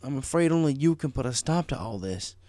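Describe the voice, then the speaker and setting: A man's voice: medium pitch, expressive, a little fast, mellow, no echo, lightly acted. Man playing a meek, hesitant stallion shopkeeper. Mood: pleading. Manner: hesitant, stammering, pleading. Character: meek, anxious adult stallion shopkeeper pony, a worried father.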